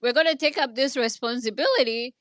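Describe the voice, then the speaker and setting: A woman's voice: high-pitched, a little fast, a bit bright, no echo, clean studio sound. A woman with a Kenyan accent telling a personal story in an online discussion, earnest and hesitant, with many restarts. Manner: earnest, speaks from her own experience. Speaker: speaker in an online audio discussion.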